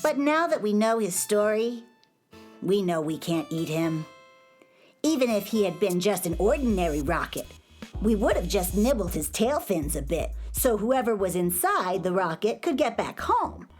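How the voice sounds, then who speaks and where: A woman's voice: mid-range voice, big pitch swings, moderate pace, a little mellow, faint music behind, close to an everyday voice. A woman voicing a young, hungry, sheepish and easily worried piglet from space in a children's audio drama.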